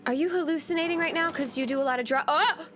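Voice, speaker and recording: A woman giving casual, expressive playthrough commentary as a gaming host, playfully reacting aloud. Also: high voice, fast, a little hiss or hum.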